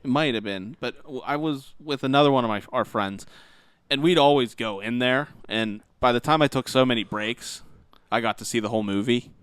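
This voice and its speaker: A man's voice: medium pitch, fairly quick, a bit bright, clean tone, dry recording. A man co-hosting a podcast, chatting casually and informally about his opinions with slangy wording. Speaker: podcast co-host. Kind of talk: casual banter, movie and game commentary.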